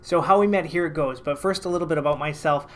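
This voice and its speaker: A man from Canada, a financial advisor, telling a story to camera in a casual, lively, self-mocking way. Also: on the high side, fast, soft background music.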